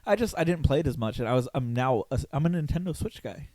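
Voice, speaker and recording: A hoarse man hosting a podcast in loose, rambling casual chat, full of fillers and swearing. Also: mid-range voice, expressive, fast, mellow, no room sound. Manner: rambling, loose and profane, with lots of fillers and laughs. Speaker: podcast host.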